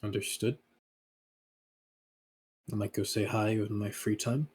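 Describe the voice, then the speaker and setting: A man playing a laconic, obedient hired gun and veteran in a tabletop roleplay, halting, deferential and deadpan. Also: fairly low pitch, husky. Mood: dry, deferential. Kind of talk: improvised in-character tabletop roleplay.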